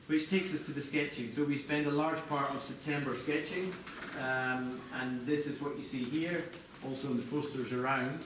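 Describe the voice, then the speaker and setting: A man presenting product design live to a group, explaining in a halting way with corporate phrasing. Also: medium pitch, steady tone. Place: large echoey indoor venue, apparently a hotel. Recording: echoey noisy room, distant mic.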